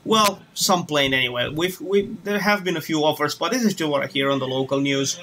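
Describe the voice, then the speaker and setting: A man's voice: fairly high pitch, brisk, bright, forceful tone, low-quality recording. A man from Romania chatting casually on a live stream while flying a simulator, rambling and restarting his sentences. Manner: rambling, hesitant, many restarts. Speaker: flight sim streamer.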